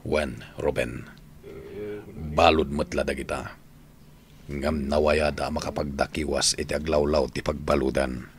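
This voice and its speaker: A man acting an older prisoner in an Ilocano radio drama, calmly and measuredly counselling a younger inmate. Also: very deep voice, measured pace, pauses often, crowd noise. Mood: reassuring, explaining. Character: older male prisoner, calm fatherly advisor to younger inmate.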